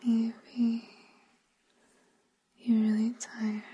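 A woman softly whispering a guided sleep hypnosis in ASMR style, gentle and soothing, leaving long pauses. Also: fairly high pitch, monotone. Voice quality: soft, breathy whisper.

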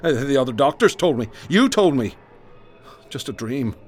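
A man's voice: mid-range voice, sing-song, normal speed. An actor playing a haunted, traumatized man who recounts nightmarish horrors in a florid, intense, theatrical radio drama delivery. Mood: bitter. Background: music.

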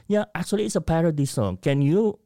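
A man's voice: on the high side, animated, fairly quick, dark tone, no room sound, clean studio sound. A man from the Philippines with a Filipino accent, guest in a casual interview, telling a story in Tagalog mixed with English and acting out quoted voices. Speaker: guest, media company founder.

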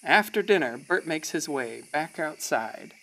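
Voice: medium pitch, animated, unhurried, sharp tone. An actor narrating a radio play as a folksy, wistful small-town storyteller.

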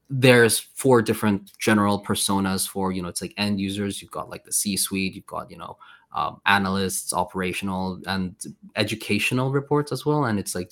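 A man's voice: lowish voice, moderate pace. A man from Sweden hosting a casual podcast chat, friendly and rambling, full of filler phrases.

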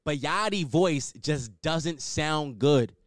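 A young man with a high voice talks fast and bluntly on his own podcast, swearing and riffing as he goes. His pitch leaps all over the place. The sound is dry and close, with no room around it. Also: lively intonation, no echo. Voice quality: higher-pitched voice. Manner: opinionated, blunt, swears, restarts and riffs. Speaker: podcast host. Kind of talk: solo podcast commentary.

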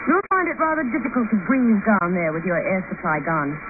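Treacherous lady doctor played by a woman, poised, expressive pitch, 1950 radio drama, tinny noisy recording. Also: lightly acted. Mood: coolly menacing. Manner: poised, deliberate, coolly menacing. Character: cold, treacherous female doctor, silky murderous schemer. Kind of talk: 1950s radio drama acting.

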